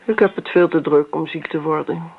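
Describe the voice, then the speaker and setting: A woman's voice: sits low, fairly even intonation, normal speed, vocal fry, slightly rough, full character voice. An actress playing a brusque, domineering older woman who speaks curtly and cuttingly in a radio play. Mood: brisk. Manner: curt, cutting, commanding. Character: brusque, busy, sharp-tongued older woman, domineering friend. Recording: tinny, noisy old radio play recording.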